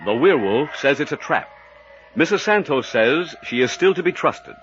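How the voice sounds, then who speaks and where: A man's voice: a little high, lively intonation, normal speed. A man announcing a 1940s radio serial in dramatic scripted style, on a muffled old recording. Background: music.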